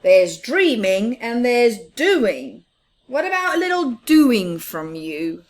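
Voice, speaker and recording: A woman's voice: on the high side, very animated, slow, voice pushed up. A woman voicing a hard-working, easily exasperated male big mouse in a children's picture book, calling out and chiding.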